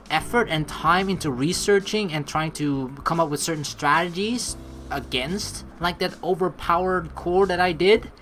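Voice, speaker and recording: A let's play host who chats casually and expressively while playing, and reads game narration aloud. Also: medium pitch. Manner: expressive, chatty, swears casually. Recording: game music under the voice. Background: game music.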